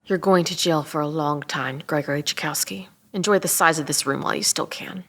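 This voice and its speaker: An actress playing a sharp-tongued, indignant interrogator in an audio drama, sarcastic, profane and confrontational. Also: female voice, low voice, a little fast. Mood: stern. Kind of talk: audio drama table read.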